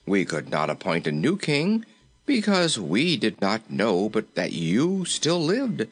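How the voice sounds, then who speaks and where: A man's voice: fairly low pitch, wildly swooping pitch, slow, husky, heavily acted. A man voicing a respectful, firm royal courtier in a storybook, formal and deferential yet insistent. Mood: earnest. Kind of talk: storybook character voice.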